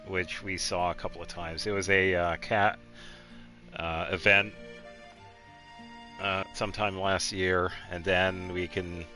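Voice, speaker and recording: A man giving casual video game commentary as he guides another player, explaining things in a halting, meandering way. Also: low voice, bright, forceful tone.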